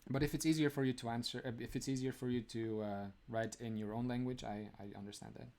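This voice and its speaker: A man's voice: mid-range voice, fairly even intonation, fast, darkish tone. Laughing young man chatting on a live stream. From Romania. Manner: chatty, laughs often, many "like" fillers. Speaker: streamer answering chat. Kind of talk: casual live-stream chat.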